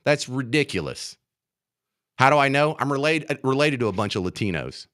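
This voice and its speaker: Indignant American male talk radio host, animated, sharp. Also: mid-range voice, normal speed. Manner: emphatic, indignant, repeats phrases for emphasis. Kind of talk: opinionated political talk radio monologue. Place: radio studio.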